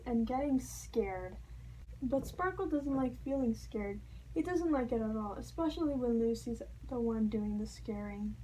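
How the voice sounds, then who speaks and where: A woman reads a children's story aloud. Her voice is quite high, and her storytelling is expressive and playful. There is faint noise in the recording. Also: low-quality recording. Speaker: storybook reader. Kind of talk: children's read-aloud narration.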